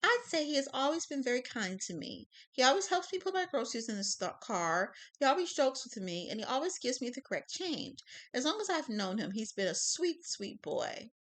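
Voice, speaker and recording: A woman's voice: sits high, big pitch swings, fairly quick, few pauses, a bit bright, voice pushed up, exaggerated voice. A woman voicing a kind, chatty old lady testifying as a witness in a classroom courtroom skit. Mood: sweet, earnest.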